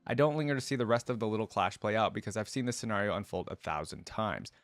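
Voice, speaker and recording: A man's voice: fairly low pitch, quick pace, no room sound. A man reading a story aloud as a reaction creator, breaking into casual commentary to pick it apart. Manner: stops to dissect and paraphrase what he reads. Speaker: video creator reacting to game lore.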